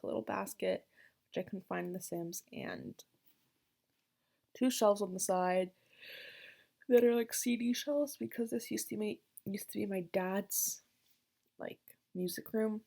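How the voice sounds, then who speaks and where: Gravelly, husky young Canadian woman. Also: mid-range voice, darkish tone. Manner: rambling, filler-heavy, self-deprecating. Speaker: gaming vlogger. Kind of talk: casual voiceover narration. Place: her bedroom in a basement.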